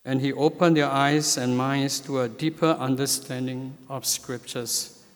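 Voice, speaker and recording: Church elder, male, preaching a sermon; slow and measured delivery, reverberant sanctuary. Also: mid-range voice, steady tone. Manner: slow, measured, with frequent hesitations. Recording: long hall reverb.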